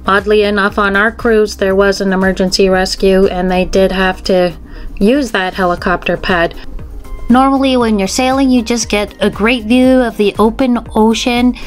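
A woman with a mid-pitched voice narrates a casual travel vlog over faint music. She talks like a tour guide, chatty and direct, putting questions and practical tips to the viewer.

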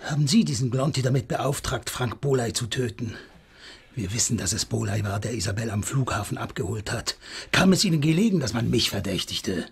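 Very husky, blunt police investigator. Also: male voice, medium pitch, expressive, fairly quick, exaggerated voice. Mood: accusing. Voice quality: husky, rough. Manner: terse, probing. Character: driven, blunt male police investigator, wounded and suspicious. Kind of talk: radio crime drama acting.

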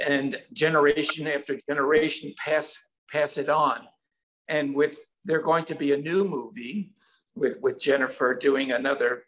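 A man's voice: on the high side, fairly bright tone, poor audio. An older man telling stories casually as a songwriter guest in an interview over a video call. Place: indoor room at home.

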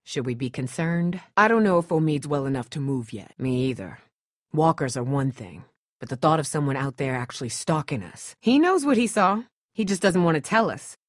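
A woman's voice: low-pitched, very animated, moderate pace, dry recording, studio quality. A woman acting a tough, distrustful and protective survivor in a dramatic video game, blunt, sardonic and guarded. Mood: cold, accusing.

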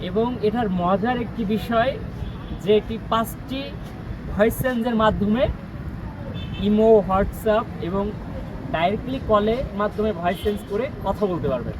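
A man with a Bangladeshi accent giving a casual product demo and sales pitch as a shop presenter. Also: a little slow, long pauses. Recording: loud street traffic noise behind.

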